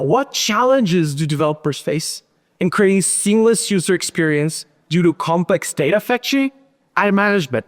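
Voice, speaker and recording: A man in his thirties giving a lively, joking tech conference talk from a large hall stage. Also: a little high. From Pakistan and Switzerland. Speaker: conference speaker. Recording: hall reverb.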